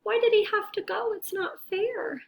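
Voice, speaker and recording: A woman's voice: very high voice, normal speed, gravelly, slight background noise, voice pushed up. A woman voicing a sad, sulky young boy shark from a storybook, pouty and plaintive. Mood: upset.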